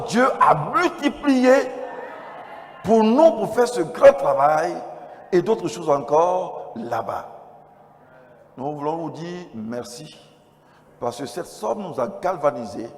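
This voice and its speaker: Male pastor, Ivorian French accent, fairly high voice with a cold-strained throat, testifying in a big echoing hall. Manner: swings from quiet asides to loud exclamations. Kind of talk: church convention address, testimony. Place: large church convention hall. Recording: reverberant hall PA, noisy background.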